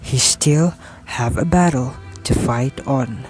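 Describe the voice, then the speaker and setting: A man's voice: medium pitch, slow pace, a little mellow, poor audio. A man giving scripted narration as the narrator of an amateur radio drama. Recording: music behind the voice.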